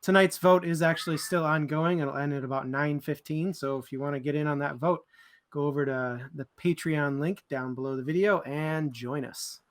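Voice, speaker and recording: A man's voice: on the high side, fairly even intonation, moderate pace. A man co-hosting a book club livestream in casual, informal chat with a bit of joking.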